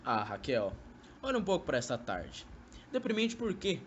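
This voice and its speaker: A man's voice: on the high side, wildly swooping pitch, moderate pace, fairly bright tone, highs cut off, slight background noise. A young man acting a sly, coaxing former lover in an amateur radio drama, speaking Brazilian Portuguese with poetic, theatrical delivery. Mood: dreamy, persuasive.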